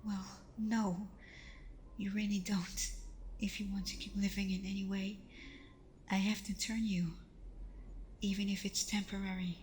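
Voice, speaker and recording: A woman playing a tender, protective vampire girlfriend in an intimate ASMR roleplay, speaking softly and breathily with a hesitant, reassuring manner. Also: mid-range voice, flat delivery, unhurried, pauses often, a little hiss or hum, lightly acted. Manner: soft, breathy, hesitant, reassuring, often dropping toward a whisper. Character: tender, protective young female vampire girlfriend, secretive and self-doubting.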